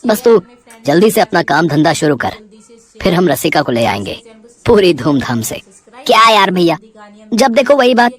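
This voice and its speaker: A man's voice: sits high, sing-song, moderate pace, long pauses. A man playing the brothers of a household in an acted family story. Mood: exasperated banter. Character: men of a family: earnest brother, reluctant younger one. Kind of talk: audio story drama acting.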